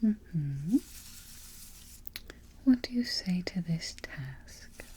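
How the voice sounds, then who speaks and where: A woman playing a haughty evil queen in a soft-spoken, breathy ASMR roleplay, giving imperious orders close to the microphone. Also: lowish voice, animated, a little hiss or hum. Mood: imperious, smug. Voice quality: breathy, half-whispered. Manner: slow, deliberate, imperious.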